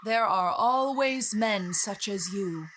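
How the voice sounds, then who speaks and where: An actress playing a proud, wise giant talking bird who speaks formally and cryptically in an audio drama, disdainful of men. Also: female voice, medium pitch, slow pace, noisy background, natural voice.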